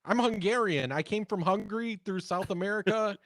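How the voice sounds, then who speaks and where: Higher-voiced man chatting on talk radio. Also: a little fast, a bit bright, no echo. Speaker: talk radio co-host, former TV news worker. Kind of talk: casual chat and storytelling.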